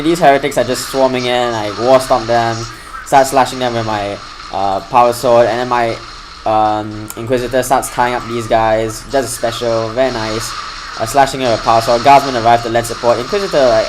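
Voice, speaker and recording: A man at a middle pitch talks through a strategy game replay in a relaxed, homemade commentary style. His delivery is mostly even and a little monotone, but it brightens when the action heats up. A steady hiss runs under his voice throughout. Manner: fairly flat delivery that lifts when excited. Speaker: gaming channel host. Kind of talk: casual gameplay commentary.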